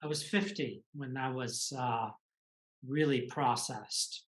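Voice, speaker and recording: A man in his sixties from the United States, telling his life story in a measured, reflective way as an interview guest. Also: medium pitch. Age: 60s. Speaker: guest author and executive coach. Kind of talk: conversational interview answers.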